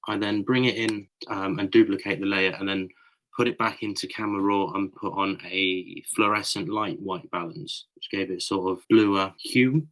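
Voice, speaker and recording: A British man with a very deep voice talks through his photo editing steps in a casual podcast chat. He is a little croaky and fills pauses with "um" and "uh". He comes through over a voice call. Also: rough recording. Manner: explains edits step by step, relaxed with fillers. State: a bit croaky.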